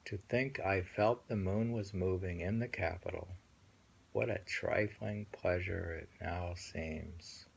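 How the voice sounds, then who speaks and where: Slow, low, gravelly man reading poetry. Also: fairly even intonation, rough recording. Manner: musing, halting, repeats phrases. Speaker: host reading and discussing poems. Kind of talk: poetry reading with casual commentary.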